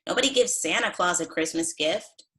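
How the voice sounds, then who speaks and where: A woman's voice: lowish voice, moderate pace, sharp tone. A woman in a sitcom table read playing a blunt, awkward single woman, hesitant and conversational.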